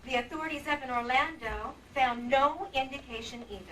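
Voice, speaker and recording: Woman acting high-voiced radio-drama wife. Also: brisk, fairly bright tone. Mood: skeptical. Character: female former showgirl wife, hostess, skeptical, outspoken. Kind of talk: old-time radio drama re-creation on stage. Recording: distant stage mic, clear background noise.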